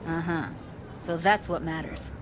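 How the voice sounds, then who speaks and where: A woman's voice: low voice, fairly even intonation, measured pace, crowd noise, background noise. An actress playing a hotheaded, jaded young female technician in a dystopian video game, with blunt, slangy, clipped delivery. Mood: bitter, defiant. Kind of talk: naturalistic video game dialogue.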